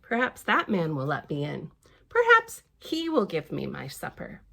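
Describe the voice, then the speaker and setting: Woman reading as plaintive storybook cat, slow and sad. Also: lowish voice, wildly swooping pitch, gravelly, bright, forceful tone, voice pushed down, exaggerated voice. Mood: hopeful, wistful. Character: Mog, a sad, forgetful, self-pitying female cat.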